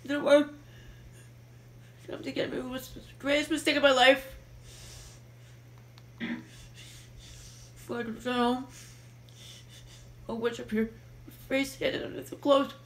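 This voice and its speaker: Woman doing a scared sad young princess stuck in a tower, highish plaintive voice, fandub, bit of noise. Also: fairly even intonation, moderate pace, long pauses, lightly acted. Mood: fearful, grieving. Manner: plaintive, dramatic. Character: frightened, sorrowful young princess trapped in a tower. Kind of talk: amateur fandub acting. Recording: noisy home recording over TV ambience.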